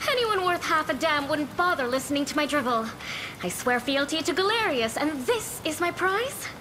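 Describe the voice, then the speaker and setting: High-voiced haughty young noblewoman. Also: sing-song, normal speed, background noise. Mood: bored, irritated. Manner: theatrical, imperious, coquettish. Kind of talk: video game cutscene acting. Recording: loud game music behind. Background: game music.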